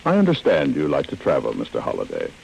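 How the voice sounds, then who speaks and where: Old radio bad guy, fake polite and threatening, deep creaky voice, bit of hiss. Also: wildly swooping pitch, darkish tone, heavily acted. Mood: smoothly menacing. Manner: measured, falsely polite. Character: smooth, menacing male henchman boss, politely threatening. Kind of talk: 1940s radio acting. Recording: noisy vintage radio recording.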